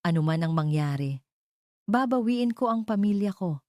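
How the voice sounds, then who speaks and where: A woman's voice: mid-range voice, lively intonation, normal speed, warm tone, no echo, studio quality, pitched above the performer's own voice, natural voice. A woman voicing an anguished, determined young man in love in an audio drama, emotional and insistent. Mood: fierce resolve.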